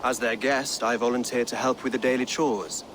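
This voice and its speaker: A man's voice: medium pitch, fairly even intonation, normal speed, a bit bright, a little hiss or hum, close to an everyday voice, low-quality recording. An actor playing a humbled Saxon king, formal and restrained, in a dramatic game scene. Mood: guarded. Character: humbled, guarded Saxon king living as a servant. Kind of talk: dramatic game cutscene acting.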